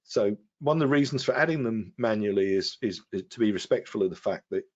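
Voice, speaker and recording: A man with a fairly low voice explains a technical product as a guest expert. He talks through software steps in a halting, explanatory way. The audio is somewhat dull and lo-fi, like a web stream. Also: animated.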